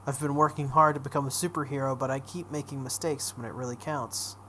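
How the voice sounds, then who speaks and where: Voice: mid-range voice, fairly even intonation, brisk, sharp tone, slight background noise. A let's play host reading visual novel text aloud dramatically, breaking off into casual reactions.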